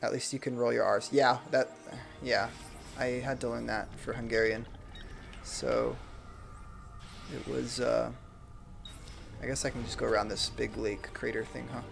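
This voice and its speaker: A young adult man streaming a game from home, chatting casually and reading viewer comments aloud in a soft, self-deprecating way, speaking into a desk microphone. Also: mid-range voice, steady tone, unhurried, creaky, long pauses. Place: home room. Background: game music.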